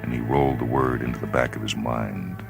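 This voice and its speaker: Very deep-voiced man narrating dramatically. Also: steady tone, brisk, gravelly, over music. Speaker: short story reader on a radio series. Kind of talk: dramatic scripted literary narration.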